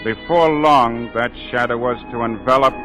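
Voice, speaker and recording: A man playing an opera singer who recounts horrors in grave, measured, theatrical 1940s radio drama style. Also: mid-range voice, natural voice. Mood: ominous, grave. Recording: vintage 1940s radio broadcast, music underneath.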